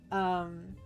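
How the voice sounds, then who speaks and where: A woman streamer chatting casually with viewers, giggly and halting as she thinks aloud and reads out messages. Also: on the low side. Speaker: streamer, host. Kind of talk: casual livestream chat, reading out viewer messages. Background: music.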